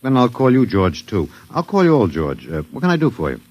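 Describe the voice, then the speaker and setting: An American actor in an old radio drama playing a wry, resigned professor who talks hesitantly and with dry humor. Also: male voice, mid-range voice, huge pitch swings, a little fast, darkish tone, dry recording. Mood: wry, friendly. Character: wry, resigned male anthropology professor, last man on Earth. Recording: dull, dry vintage radio recording.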